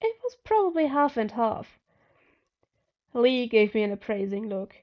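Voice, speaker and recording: A woman's voice: fairly high pitch, big pitch swings, unhurried, lo-fi sound. A woman narrating first-person fiction expressively as an amateur audiobook reader.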